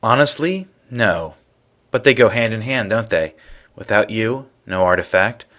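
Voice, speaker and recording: A man's voice: on the low side, expressive, measured pace, a bit bright. A man voicing a probing, matter-of-fact space adventurer in a video game. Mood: pointed, frank.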